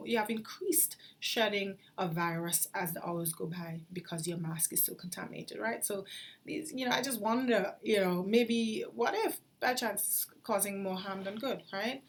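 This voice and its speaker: A woman physician talking casually to camera, reasoning aloud in an earnest, puzzled way and tagging her points with "you know" and "right?". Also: mid-range voice. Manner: conversational, many "you know" and "right?" tags. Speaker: infectious diseases physician. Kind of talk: casual spoken commentary to camera.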